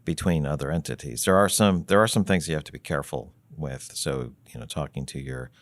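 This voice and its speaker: Very deep-voiced man explaining steadily. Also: no echo. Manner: measured, thinking aloud with many fillers and restarts. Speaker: treasury consultant guest. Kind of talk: explanatory podcast conversation.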